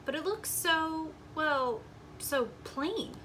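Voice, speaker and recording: A woman voicing a gentle, polite and earnest male hermit crab from a storybook, in an expressive way. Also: high-pitched, takes it slowly, a little hiss or hum, pitched above the performer's own voice, full character voice. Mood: wistful, pleading.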